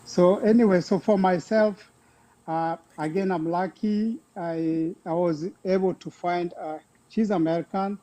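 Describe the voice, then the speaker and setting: A middle-aged man with a Kenyan accent, talking casually and haltingly about himself as a guest caller. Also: high voice, steady tone, dark tone. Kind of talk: casual personal talk.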